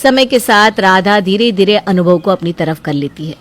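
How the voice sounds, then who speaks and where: A woman's voice: medium pitch, moderate pace. Woman narrating a family story. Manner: even, storytelling.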